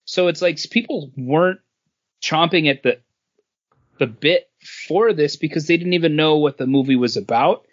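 Higher-pitched man in casual chat. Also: lively intonation, normal speed, lo-fi sound. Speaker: podcast co-host.

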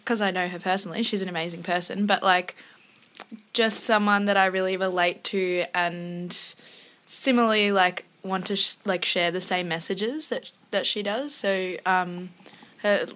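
A woman's voice: mid-range voice, fairly even intonation, normal speed, fairly bright tone. A young woman musician, a guest on a radio show, chatting in an upbeat way with lots of laughs and fillers. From born in London, raised in Singapore and Melbourne. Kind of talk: casual interview chat.

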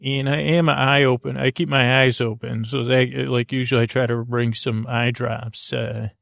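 Middle-aged male podcast host, gravelly voice, dry close sound, rambling and unhurried. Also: medium pitch, flat delivery, dry recording. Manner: meandering, self-interrupting, soft-spoken. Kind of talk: rambling bedtime podcast intro.

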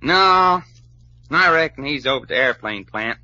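An actor playing a folksy, eager Southern adventurer pal in a 1940s radio serial, drawling and colloquial. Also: male voice, medium pitch, lively intonation, moderate pace, pushed and bright. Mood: folksy, easygoing. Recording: dull old-time radio recording.

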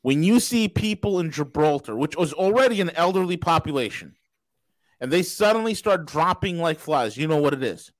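High-voiced man ranting on a podcast. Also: animated, normal speed, no echo, poor audio. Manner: loud, profane, mocking rants. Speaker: podcast host. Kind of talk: casual talk show banter.

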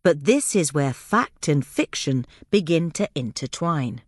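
Woman, scripted podcast narration, animated pitch swings, dry close recording. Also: unhurried, dry recording. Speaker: podcast narrator reading an article.

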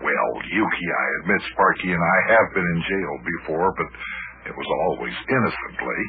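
A steady, reasonable big detective of old radio, with a lowish voice. He talks in a measured, faintly sheepish way. The sound is thin and narrow, like a phone line. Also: slight background noise. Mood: reasonable, rueful. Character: steady, easygoing big male detective, storyteller and partner. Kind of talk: old-time radio serial acting and narration.

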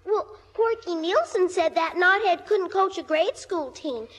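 An actress playing a brash, slangy, wisecracking young daughter with an American accent in 1950s radio style. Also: female voice, very high voice, measured pace. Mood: cheeky.

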